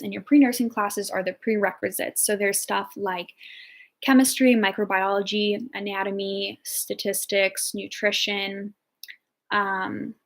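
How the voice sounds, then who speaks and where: A woman's voice: mid-range voice, vocal fry. Chatty young woman nursing student. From Ohio, United States. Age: 20s. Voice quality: chatty, filler-heavy, upbeat. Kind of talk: casual chat answering viewer questions.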